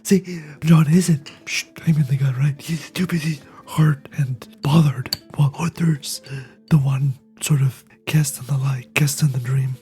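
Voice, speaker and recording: A host with a raspy, quiet, fragile voice, talking through a game scene in a soft near-whisper with frequent "right?" asides. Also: medium pitch, steady tone, a little slow, soft background music. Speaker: video essay host reacting to a game scene. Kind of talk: casual spoken analysis.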